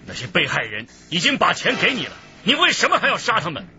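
Commanding, very animated police squad leader. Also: male voice, high voice, fast, gravelly, exaggerated voice. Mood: tense, forceful. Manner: authoritative, clipped orders. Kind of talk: radio drama acting. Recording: muffled radio drama with music underneath.

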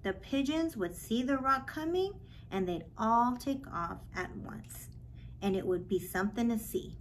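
A woman in her thirties reading a children's book aloud in a measured, expressive way, with pauses, in a small office. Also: a little high, slight background noise. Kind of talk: read-aloud storytelling.

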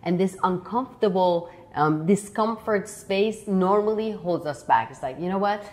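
Low-voiced young woman host, animated. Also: moderate pace. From Iran. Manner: animated, searching for words, restarts often. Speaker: interview host. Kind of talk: conversational interview. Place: bright living room indoors. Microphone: lapel mic.

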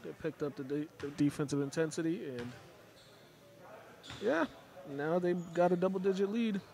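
A man's voice: fairly high pitch, normal speed, gravelly, dark tone. A man giving loose, chatty play-by-play commentary of a game, repeating names and restarting phrases. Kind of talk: casual sports commentary. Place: large indoor school gym. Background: faint gym ambience and ball bounces.

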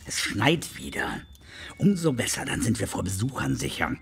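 An actor playing a kindly, secretive, homely talking beaver with a folksy manner in an audio drama. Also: male voice, mid-range voice, big pitch swings, normal speed, vocal fry, fairly bright tone, slight background noise, full character voice. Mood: warm, busy. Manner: conspiratorial, modest, folksy. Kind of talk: German audio drama acting. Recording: music under the voice.

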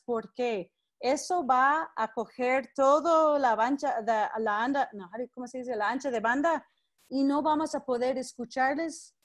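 High-voiced woman teaching on a video call. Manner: friendly, directive, calls participants by name. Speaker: teacher leading an online class. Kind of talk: live online class, guiding participants.

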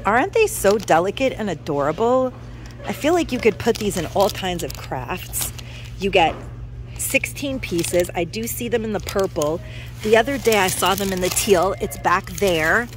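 A woman's voice: mid-range voice, lively intonation, normal speed, background noise. A woman vlogging as she walks through a discount store, filming on a handheld phone and gushing enthusiastically to her viewers. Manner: gushing, enthusiastic, addresses viewers as you guys. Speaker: shopping vlogger. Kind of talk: casual shop-with-me chat. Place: inside a large discount store. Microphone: handheld phone camera.

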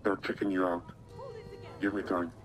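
Man as a low-voiced, cautious underground hacker. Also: little pitch movement, moderate pace, music in the background, natural voice. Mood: cooperative. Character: cautious male underground hacker contact aiding a client. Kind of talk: video game phone call dialogue.

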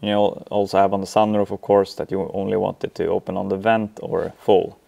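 A man in his thirties with a Swedish accent, giving an informal, halting software walkthrough full of ums. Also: deep voice, flat delivery, creaky, dull audio. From Sweden. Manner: hesitant, halting delivery with frequent ums. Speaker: tutorial presenter.

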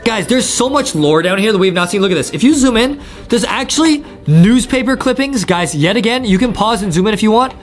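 A man's voice: high voice, lively intonation, fairly quick, faint music behind. A young American man hosting gameplay commentary in a hyped, exclamatory, slangy way. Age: young adult. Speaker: gaming YouTube host.

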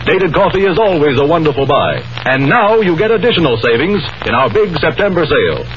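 An American man delivers a formal 1930s radio advertisement. His fairly high voice rises and falls with great liveliness, on a muffled vintage recording. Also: sing-song, fairly quick. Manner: formal, earnest sales pitch. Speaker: radio announcer. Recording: dull 1930s radio broadcast.

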